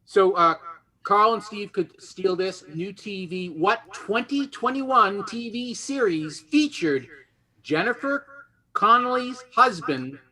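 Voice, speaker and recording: A man hosting a quiz show in a lively, partly improvised style, introducing and explaining as he goes. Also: high voice, slow pace, low-quality recording. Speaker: game show host.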